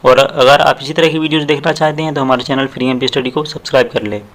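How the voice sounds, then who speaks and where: A man's voice: medium pitch, steady tone. Indian male tutor explaining step by step. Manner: explanatory, repeats phrases, slows down for quoted sentences. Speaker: tutorial teacher. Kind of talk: step-by-step lesson, translating phrase by phrase.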